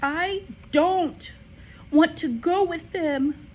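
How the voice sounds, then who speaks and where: A woman's voice: huge pitch swings, unhurried, lo-fi sound, exaggerated voice. Matilda, a woman doing a frantic little girl. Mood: frantic crying. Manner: cries out, high and breathless.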